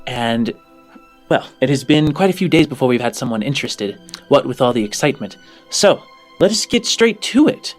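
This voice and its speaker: Male game master as orphanage headmistress, chatty, deferential, halting, tabletop roleplay, background music. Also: mid-range voice, very animated. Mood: businesslike.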